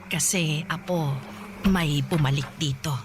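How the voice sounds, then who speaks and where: A woman acts out an old Filipino grandmother in a radio drama, with clear noisy ambience around her. The voice is on the low side, halting and apologetic, soft-hearted in temper. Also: fairly even intonation. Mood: reluctant. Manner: halting, hesitant.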